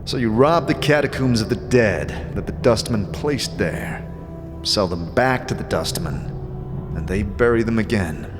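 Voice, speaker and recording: A man playing a calm, grave wanderer and narrating in a measured, brooding way in a fantasy audio drama. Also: fairly low pitch, close to an everyday voice. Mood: calm, probing. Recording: long reverb, music underneath.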